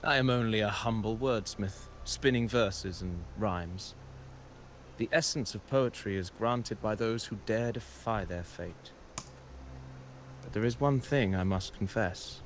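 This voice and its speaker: An actor playing a humble, cryptic poet in a video game, speaking in measured, ornate, theatrical phrases. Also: male voice, fairly low pitch, a little hiss or hum, close to an everyday voice. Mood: solemn, gentle. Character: male poetic bard narrator, humble, cryptic, courteous. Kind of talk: video game character dialogue.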